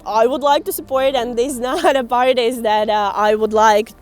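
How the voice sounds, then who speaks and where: A young woman from Latvia whose first language is Russian, answering interview questions haltingly in a second language. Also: fairly high pitch, steady tone, faint music behind. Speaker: documentary filmmaker and activist.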